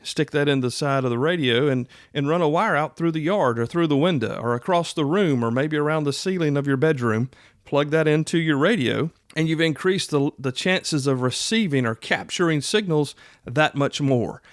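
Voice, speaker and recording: A man's voice: a little high, moderate pace, no echo, clean studio sound. A middle-aged man hosting a podcast, explaining things in a casual, folksy, conversational way. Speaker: podcast host. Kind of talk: casual instructional podcast talk.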